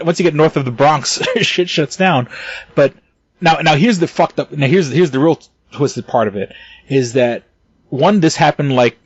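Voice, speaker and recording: A man's voice: on the high side, dry recording, highs cut off. A man co-hosting a casual podcast, talking in a heated, profane way with stumbling restarts and bursts of laughter. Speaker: podcast co-host.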